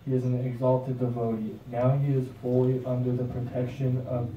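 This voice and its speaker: A man's voice: mid-range voice, completely flat delivery, normal speed, close to an everyday voice. A man voicing a reverent demigod in a puppet show, speaking formally and humbly. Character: reverent demigod humbly addressing his Lord. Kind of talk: devotional puppet show dialogue. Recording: muffled, echoey, distant with noise.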